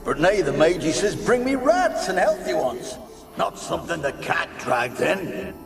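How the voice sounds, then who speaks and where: Shrill echoing grumbler of a rat-catcher. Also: male voice, sing-song, measured pace, gravelly, recorded from a distance, loud music behind the voice, full character voice. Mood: mocking. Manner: sarcastic, mimicking, theatrical.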